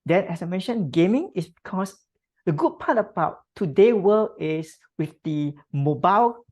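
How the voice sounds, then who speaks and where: A woman from Singapore giving thoughtful interview answers, with many pauses and tag questions. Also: lowish voice, animated, darkish tone, muffled recording. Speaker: podcast guest.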